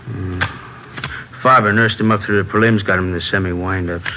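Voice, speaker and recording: An easygoing boxing gym boss rambles helpfully in a fairly low voice. He hesitates and drops words in a folksy way, as a character in a vintage radio drama with clear background noise. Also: steady tone, moderate pace. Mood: recollecting. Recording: noisy vintage radio recording.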